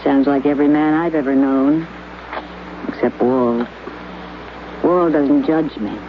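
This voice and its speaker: A woman's voice: low-pitched, steady tone, measured pace, slight background noise. An actress playing a world-weary woman who mocks and then pleads, in 1950s radio drama style. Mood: bitter. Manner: teasing, mocking, then pleading. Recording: dull lo-fi old radio recording.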